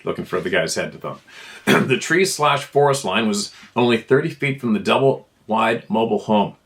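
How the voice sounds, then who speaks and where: A man's voice: fairly low pitch, lively intonation, moderate pace, creaky, a bit bright. A man reading a first-person account aloud in an informal storytelling style. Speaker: narrator reading listener emails.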